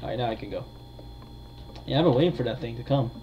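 A man giving casual, hesitant gameplay commentary with frequent pauses. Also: medium pitch, animated. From United States. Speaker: gamer, video creator. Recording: dull lo-fi mic, faint noise.

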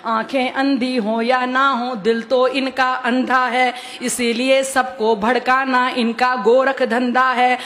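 A woman's voice: high-pitched, flat delivery, slow, hardly any pauses, a bit bright. A middle-aged woman poet reciting verse on stage at a podium microphone, declamatory and emphatic, repeating couplets to the audience. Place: stage at a public gathering with podium. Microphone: stand microphone on podium.

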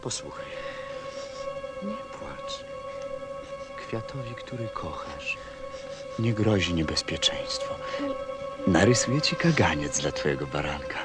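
An actor playing a busy, irritable stranded mechanic in a radio play, muttering and impatient. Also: male voice, deep voice, very animated, takes it slowly, long pauses, over music, full character voice. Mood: soothing. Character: busy, irritable stranded man tinkering with machinery.

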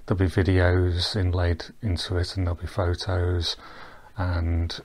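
A man giving a relaxed, rambling voice-over walkthrough of a virtual boat tour, with frequent ums and restarts. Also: deep voice, unhurried. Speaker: tour guide. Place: recorded while wearing VR goggles, not on the boat.

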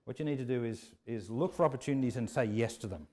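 A middle-aged man with an Australian accent giving measured, unscripted interview answers with some hesitant phrasing. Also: medium pitch, crowd noise. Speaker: business school dean, interviewee. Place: walking through a university building. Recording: echoey indoor hallway.

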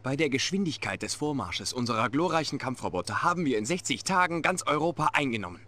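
A man's voice: mid-range voice, sing-song, quick pace, pushed and bright. A male actor playing a middle-aged Soviet general in a game cutscene, pompous and defensive. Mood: boastful. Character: boastful, anxious Soviet general. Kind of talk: video game cutscene acting.

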